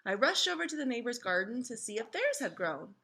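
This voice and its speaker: A woman reads a children's story aloud as a teacher would. Her voice is fairly high and very bright, and her delivery is expressive and instructive. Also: a little fast, husky. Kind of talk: children's storybook read-aloud with questions to listeners.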